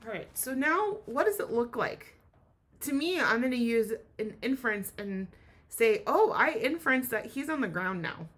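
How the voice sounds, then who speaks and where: Female teacher for a kids' read-aloud lesson: high pitch, lively swoops, expressive, explains as she goes. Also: moderate pace. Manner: expressive, asks listeners questions and explains.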